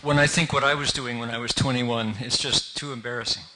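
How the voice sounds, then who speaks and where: A man moderating a public event, relaxed and lightly joking as he moves the program along. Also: medium pitch, fairly quick, noisy background.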